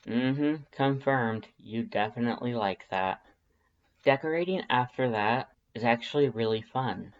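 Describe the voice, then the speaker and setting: Slightly muffled male audiobook narrator. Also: medium pitch. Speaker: fan fiction narrator. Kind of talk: expressive scripted story narration.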